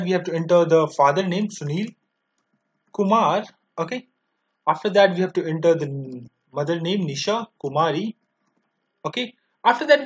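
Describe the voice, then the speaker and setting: A man in his twenties with an Indian accent, narrating a step-by-step software tutorial in a halting, repetitive way. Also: fairly high pitch. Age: 20s. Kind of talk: step-by-step screen demo narration. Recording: dull lo-fi microphone sound.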